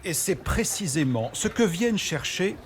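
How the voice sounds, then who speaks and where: A man's voice: sits high, expressive, normal speed, background noise. A man narrating a scripted travel report as a television news reporter. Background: background music.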